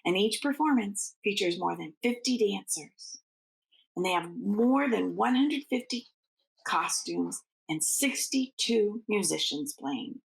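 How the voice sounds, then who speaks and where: A woman's voice: medium pitch, expressive, unhurried, gravelly, poor audio. A woman reading a storybook aloud to children like a schoolteacher, in an informal way. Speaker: teacher reading aloud to students.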